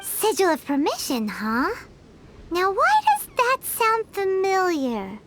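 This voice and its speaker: A woman's voice: very high-pitched, wildly swooping pitch, takes it slowly, very noisy, exaggerated voice. A woman voicing a tiny chirpy female fairy companion in a game who chatters and talks about herself by name. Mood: excited. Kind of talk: game cutscene dialogue. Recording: game audio with loud music behind.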